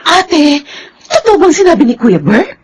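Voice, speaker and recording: An actress playing an excitable, affectionate aunt in a Filipino radio drama, gushing in a Filipino accent with bits of English. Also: female voice, high-pitched, measured pace. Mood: breathless, excited. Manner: gushing, peppers talk with English.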